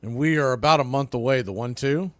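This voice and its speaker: A man's voice: medium pitch, brisk, no room sound. A man giving live radio play-by-play commentary of a baseball game as the announcer.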